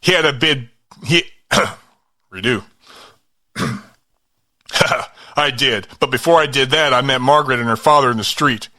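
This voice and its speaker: Bright, forceful man reading script lines. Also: mid-range voice, a little slow, long pauses. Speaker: audio drama performer.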